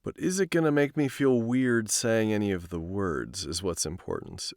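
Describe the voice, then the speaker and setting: A man's voice: on the low side, expressive, a little slow, a little mellow, dry recording, studio quality. A man reading stories aloud on a live stream, with an informal manner. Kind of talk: live storytelling and casual stream chat.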